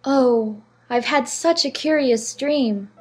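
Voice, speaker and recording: A spirited young girl answers back loudly in a high voice, as a male narrator puts her on. She speaks slowly and with plenty of feeling. Soft music plays underneath. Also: breathy edge, exaggerated voice. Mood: wondering. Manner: defiant, loud.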